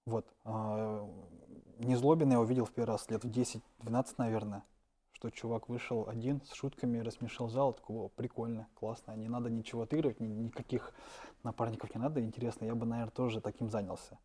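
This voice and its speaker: Fast-talking man with a mellow voice. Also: mid-range voice. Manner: relaxed, trailing off quietly at ends of phrases. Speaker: stand-up comedian, guest. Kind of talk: casual interview answers.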